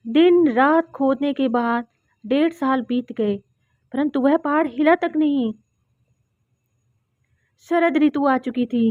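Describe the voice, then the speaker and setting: A woman's voice: high-pitched, takes it slowly, pauses often, no room sound, phone-quality sound. A woman reading scripted narration for a children's cartoon story.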